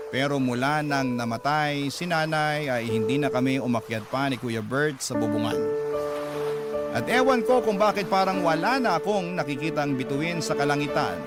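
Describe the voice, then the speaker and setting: A man's voice: a little high, lightly acted. A man playing a sorrowful letter sender, narrating family memories earnestly with a Filipino accent in a radio drama. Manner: wistful, earnest storytelling. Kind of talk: dramatic first-person scripted narration. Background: loud music.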